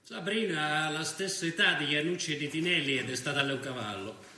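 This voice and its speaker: A man's voice: fairly high pitch, fairly quick, bright, forceful tone, echoey room, slight background noise. An actor playing a middle-aged police commissioner and worried father in a radio drama, bitter and emotional. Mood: uneasy, confiding. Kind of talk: theatrical radio drama monologue and dialogue.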